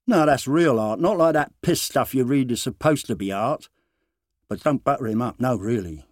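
A man's voice: medium pitch, lively intonation, moderate pace, no room sound, clean studio sound, lightly acted. A man voicing a gossiping, foul-mouthed building-site worker in a short story, grudging and mocking, with blunt, clipped banter. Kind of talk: dramatised short-story dialogue.

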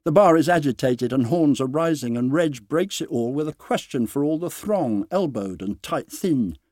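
A man narrating a short story dramatically, with deliberate phrasing and dramatic pauses. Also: a little high, expressive, darkish tone, dry recording, studio quality.

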